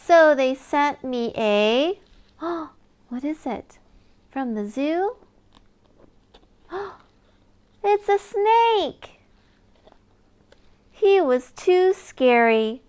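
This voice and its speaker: A woman reading a picture book aloud to young children in an expressive storytelling manner, with exaggerated wonder for small listeners. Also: high-pitched, very slow, long pauses.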